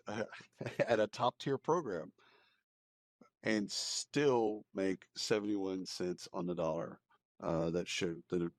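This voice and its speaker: A man's voice: low-pitched, darkish tone, no echo. An American man co-hosting a podcast, discussing news in a casual, opinionated, informal way and repeating phrases for emphasis. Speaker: podcast co-host.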